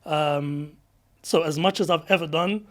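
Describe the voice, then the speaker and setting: A man, speaking as a podcast guest. His voice sits a little higher than most men's. He talks in a relaxed, thoughtful way, pausing and restarting as he works through his answers. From London, England. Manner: reflective, with frequent restarts and fillers. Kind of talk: casual interview chat.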